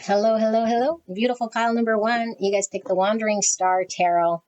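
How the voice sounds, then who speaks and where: A woman giving a tarot reading to her viewers, talking frankly and often tagging "okay?" onto her sentences, with her voice swinging high and low. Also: fairly even intonation, brisk, a little mellow, lo-fi sound. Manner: pitch swings high and low as she talks. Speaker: tarot reader. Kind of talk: casual direct-to-camera tarot reading.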